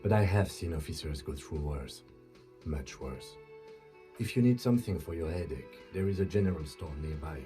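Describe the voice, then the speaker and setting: A businesslike police lieutenant in a video game. His voice is low and soft-edged, his delivery polite, formal and restrained. There is music behind him. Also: expressive. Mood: measured, dry. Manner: measured, polite, formal, matter-of-fact.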